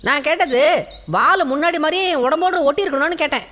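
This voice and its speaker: High-pitched cheeky monkey. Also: female voice, quick pace, sharp tone. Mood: exasperated. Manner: whiny, exasperated, bossy. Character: cheeky, complaining male monkey, mischievous troublemaker. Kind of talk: children's cartoon dubbing.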